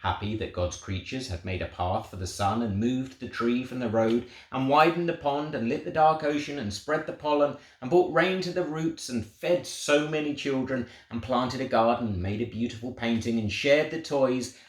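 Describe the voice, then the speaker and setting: A man reading a children's storybook aloud in an expressive, gentle, deliberate way. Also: mid-range voice. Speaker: storybook reader. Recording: roomy, echoing sound.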